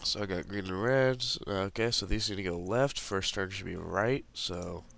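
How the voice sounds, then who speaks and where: A man's voice: sits low, moderate pace, gravelly, fairly bright tone, faint music behind. A man with the flu, sounding congested, casually talking through a puzzle tutorial over a screen recording. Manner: casual, walks through steps while clicking. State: has the flu, congested and sniffling.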